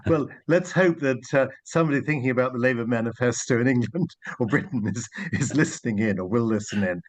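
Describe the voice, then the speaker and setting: A man's voice: mid-range voice, brisk. A man chairing a panel discussion, putting questions to the speakers in a polite, inquiring way.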